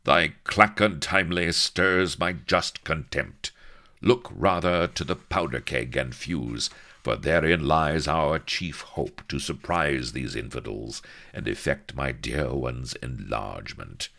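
A man's voice: very deep voice, slow, creaky, sharp tone, exaggerated voice. A man voicing a flamboyant swashbuckling hero, pompous and dramatic, with archaic diction, in a comic adventure story. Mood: crisp contempt.

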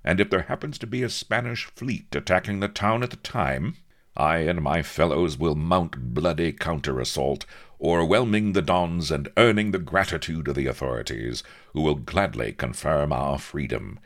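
A man's voice: extremely low pitch, normal speed. A man voicing a young, heroic naval captain, pompous and noble, with stern, grandiloquent old-fashioned speech in a comic storybook reading. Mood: cool, lofty. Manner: grandiloquent mock-archaic speech, stern and cool.

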